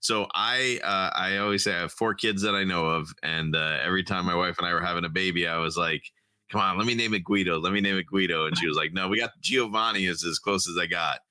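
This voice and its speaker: A middle-aged man with a very low yet strikingly bright, forceful voice tells family stories at a fast clip. He rambles cheerfully with lots of "uh" and "you know". The sound is dry and close. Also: steady tone, no echo. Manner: fast, chatty storytelling with many fillers. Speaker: podcast guest, cigar brand founder. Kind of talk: casual chat.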